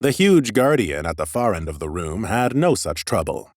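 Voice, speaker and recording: A man reading expressive first-person scripted narration as an audiobook narrator. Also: on the low side, moderate pace, dry recording, clean studio sound.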